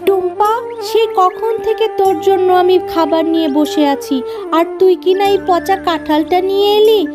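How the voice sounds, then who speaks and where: A woman's voice: extremely high pitch, moderate pace, over music. A woman voicing a caring, worried animal mother in a children's cartoon, with a coaxing, motherly way of talking. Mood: exasperated. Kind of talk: children's cartoon story acting.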